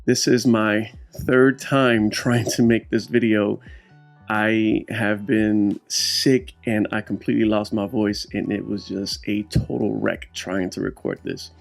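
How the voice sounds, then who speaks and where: A man's voice: fairly low pitch, little pitch movement, takes it slowly, vocal fry, faint music behind. A man hosting his own vlog, talking through his street photos in a casual, explanatory voiceover with frequent fillers. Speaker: street photographer and channel host.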